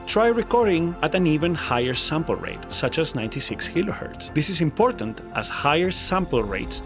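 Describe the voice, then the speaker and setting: A man narrates a scripted tutorial in a slightly high voice with very varied intonation. His delivery is articulate and instructional, with light music behind him.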